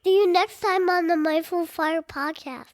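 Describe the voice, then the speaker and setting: A child reading a short podcast intro carefully. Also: female voice, very high-pitched, steady tone, moderate pace, no room sound, studio quality. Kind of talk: recorded show intro.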